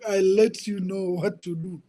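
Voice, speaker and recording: A man in his twenties with a Kenyan accent, telling his life story as a podcast guest in an earnest, halting way, often repeating words. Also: high-pitched, steady tone, mellow. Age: 20s. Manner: earnest, repeats words while searching for them. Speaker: guest, ministry coach. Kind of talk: personal testimony in conversation.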